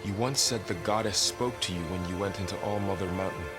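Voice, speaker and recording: Male actor for loyal game companion: questioning, earnest tribesman, deeper pitch, prominent music underneath. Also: fairly quick, natural voice. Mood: searching. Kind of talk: naturalistic video game voice acting.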